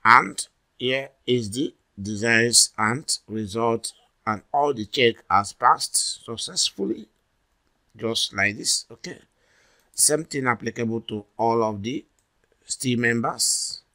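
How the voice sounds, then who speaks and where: A man from Nigeria presenting a software tutorial as a voice-over for a screen recording, talking deliberately and often saying "all right". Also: medium pitch.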